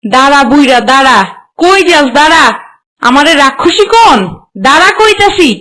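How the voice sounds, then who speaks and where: A woman's voice: high voice, normal speed, bright, forceful tone, slight background noise, poor audio. A woman voicing a demanding, hot-tempered young village wife in a cartoon, scolding. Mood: angry, threatening. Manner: scolding, commanding. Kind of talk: cartoon voice acting.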